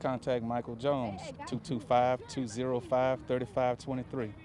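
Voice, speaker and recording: A man's voice: mid-range voice, fairly even intonation, moderate pace, darkish tone. Plainspoken man, head track coach. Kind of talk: interview answers. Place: outdoors at a youth track meet.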